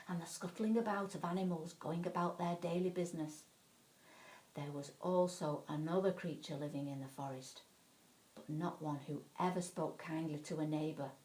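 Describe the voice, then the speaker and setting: A woman narrating a children's bedtime story in an expressive, dramatic way, leaning on key words. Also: fairly low pitch, poor audio.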